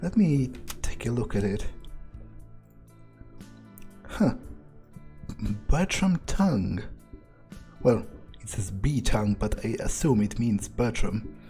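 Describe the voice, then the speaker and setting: A man's voice: medium pitch, animated, slow, pauses often, dark tone, soft background music. A man muttering and swearing as he thinks aloud in character while playing a game. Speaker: game player.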